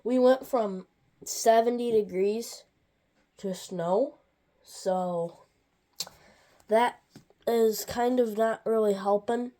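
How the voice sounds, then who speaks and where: A woman's voice: fairly high pitch, slow pace, long pauses, mellow. A woman hosting a farm toy channel, giving a casual, rambling toy review with many asides while her nose is stuffy.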